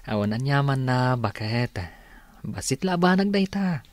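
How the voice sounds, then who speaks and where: An actor playing a supportive, cheerful young husband in a Filipino radio drama, speaking warmly and encouragingly. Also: male voice, medium pitch, sing-song, a little slow, no room sound. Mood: reassuring. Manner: warm, reassuring, encouraging. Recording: dry studio, music underneath.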